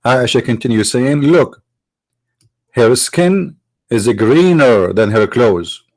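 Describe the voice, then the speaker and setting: A man whose first language is Arabic, from the Middle East, arguing in a sarcastic, mocking tone and slowing down to stress quoted phrases. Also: mid-range voice, expressive, darkish tone, no echo. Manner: sarcastic, mocking rhetorical questions. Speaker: apologist speaker. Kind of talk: argumentative lecture.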